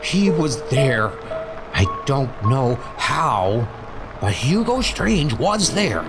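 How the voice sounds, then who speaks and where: A man playing a panicked, guilt-ridden crime boss in a comic book dub, frantic and pleading. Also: medium pitch.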